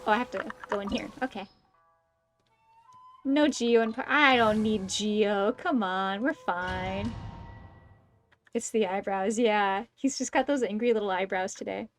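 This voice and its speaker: A woman's voice: mid-range voice, a little slow, long pauses, faint music behind. A woman livestreaming a game, giving casual commentary in an amused, teasing way.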